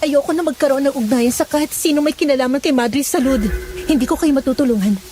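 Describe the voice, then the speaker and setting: A woman's voice: sits high, fast talker, warm tone, slight background noise, close to an everyday voice. A woman playing a frightened, guarded young village woman in an audio horror drama, stammering and pleading. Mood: fearful, refusing.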